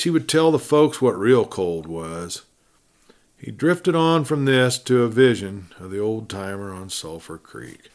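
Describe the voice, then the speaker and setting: A male storyteller with a fairly low voice narrates a classic adventure tale. His delivery is dramatic and measured, leaning on key phrases and letting sentence endings drop softly away. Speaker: storyteller, audiobook reader.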